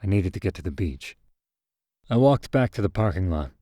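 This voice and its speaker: Male narrator, scripted first-person fiction. Fairly low voice, very dry sound. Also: lively intonation, moderate pace, warm tone, dry recording.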